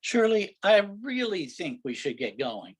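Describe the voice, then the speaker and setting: A man's voice: sits high, sing-song, a little slow, husky, exaggerated voice. A man playing an impatient, sarcastic, exasperated husband on vacation in an amateur staged play reading. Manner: sarcastic, griping, incredulous.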